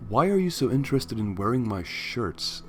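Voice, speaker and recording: A man voices an exasperated young man in a fan story reading. He is wry and incredulous, his mid-pitched voice swinging expressively, with faint music behind. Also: sing-song, normal speed. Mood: puzzled. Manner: sharp, incredulous, weary. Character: exasperated, sarcastic young human man in pony world.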